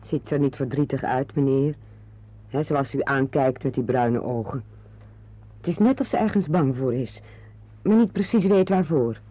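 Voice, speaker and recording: An actress playing a friendly, deferential hostess in a radio drama, courteous and obliging. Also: female voice, rumbling low, normal speed. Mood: concerned, courteous. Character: friendly, deferential, hospitable host serving tea. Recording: dull, lo-fi old radio play recording.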